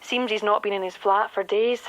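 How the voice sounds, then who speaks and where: Actress as informal, clipped woman detective, medium pitch, friendly, radio drama. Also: steady tone, moderate pace, close to an everyday voice, rough recording. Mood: pleased, businesslike. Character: brisk, friendly female detective constable.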